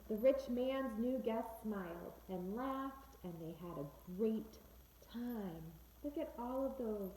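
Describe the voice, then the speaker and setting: A woman with a fairly high, mellow voice reads a children's story aloud. She teaches as she goes, turning to her young listeners with simple questions. The recording sounds echoey, as in a reverberant room. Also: expressive, slow pace. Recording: echoey room with faint background noise.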